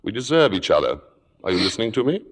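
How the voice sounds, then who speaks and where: An actor playing a suave, domineering critic in 1950s radio drama, speaking with polished, sardonic precision. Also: male voice, lowish voice, sing-song, fast. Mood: cold, domineering. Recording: old radio broadcast, music behind.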